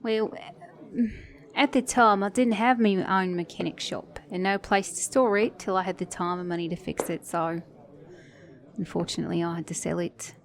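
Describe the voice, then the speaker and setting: A woman's voice: on the low side, fairly even intonation, normal speed, close to an everyday voice. A woman voicing a flirty, sassy, caring mechanic in an intimate ASMR romance roleplay, teasing the listener as her date. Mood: nostalgic, chatty. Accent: British or Australian.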